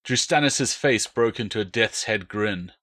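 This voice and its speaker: A man's voice: lowish voice, unhurried, pushed and bright. A man reading his own novel as a dramatic, scripted audiobook narration.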